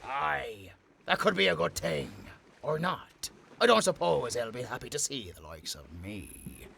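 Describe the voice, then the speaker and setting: An actor playing an uneasy reformed pirate, dryly pessimistic and hesitant, in an audio adventure. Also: male voice, medium pitch, very animated, measured pace, creaky, pushed and bright, slight background noise, exaggerated voice. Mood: doubtful. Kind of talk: cinematic audio adventure acting.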